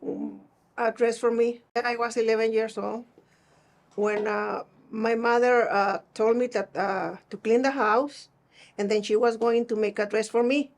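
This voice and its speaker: A woman's voice: a little high, steady tone, a little slow. Mexican-accented woman telling her own stories. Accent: northern Mexican Spanish. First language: Spanish. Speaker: seamstress being interviewed. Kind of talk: conversational personal storytelling.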